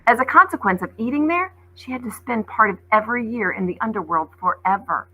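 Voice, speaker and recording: Woman reading as a bold, wry young girl, bright voice. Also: fairly high pitch, lively intonation, a little fast, breathy edge, pitched above the performer's own voice, full character voice, low-quality recording. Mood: explaining.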